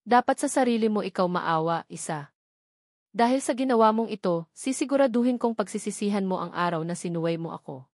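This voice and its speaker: A cold, domineering older matriarch voiced by a woman narrator speaks with haughty scorn and menace. It is a story-drama delivery at a middle pitch, recorded dry. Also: lively intonation, brisk, darkish tone, no room sound, close to an everyday voice. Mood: bitter, threatening. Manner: haughty, threatening.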